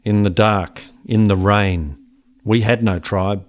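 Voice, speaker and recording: Slow, brooding settler man. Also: lowish voice, fairly even intonation. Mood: brooding, haunted. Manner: deliberate, brooding, poetic. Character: haunted settler son recalling his lost brother. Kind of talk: dramatic radio play narration.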